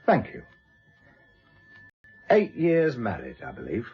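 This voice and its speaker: An actor playing a wry, probing middle-aged British police inspector in a radio drama, unhurried and needling. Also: male voice, mid-range voice, wildly swooping pitch, husky, heavily acted. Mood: coolly needling. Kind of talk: naturalistic radio drama acting. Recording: dull lo-fi radio recording, music behind.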